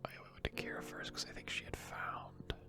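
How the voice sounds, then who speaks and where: A person giving rambling, hesitant gaming commentary in a breathy, unvoiced ASMR whisper close to the microphone. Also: normal speed. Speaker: gaming video host.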